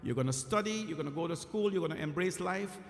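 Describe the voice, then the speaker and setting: A man from Guyana, a temple priest, giving an earnest, emphatic devotional sermon with frequent pauses. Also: on the high side, expressive. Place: temple hall. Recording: echoey temple hall.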